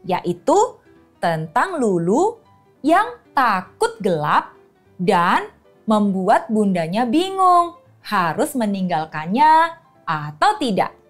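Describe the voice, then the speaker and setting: A woman hosting and telling stories and riddles to young children, animated, with frequent cheerful exclamations. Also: medium pitch. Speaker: children's storyteller and host.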